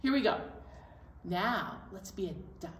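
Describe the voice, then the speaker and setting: A middle-aged woman presenting storytime to young children, animated and encouraging as she talks and leads action songs. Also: mid-range voice, measured pace. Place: indoor library storytime set. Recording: roomy, distant mic, faint noise.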